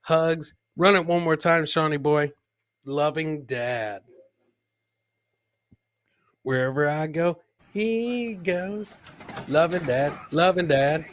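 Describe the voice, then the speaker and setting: A man's voice: a little high, takes it slowly, long pauses, no room sound. A man hosting a comedy podcast, casually commenting on funny video clips and repeating his own catchphrases. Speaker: podcast host.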